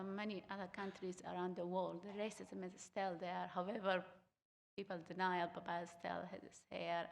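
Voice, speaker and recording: A young woman from Afghanistan whose first language is Uzbek, speaking haltingly with many fillers as a students' union equity officer on a theatre panel. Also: fairly low pitch, gravelly, in a large hall. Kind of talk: panel talk on stage. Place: theatre stage.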